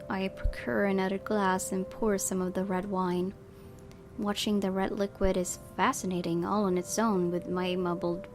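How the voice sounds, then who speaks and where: A woman reads visual novel narration aloud for a gaming video. Her mid-pitched voice is expressive and wistful as she stays in character. Faint music plays underneath. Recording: music behind the voice.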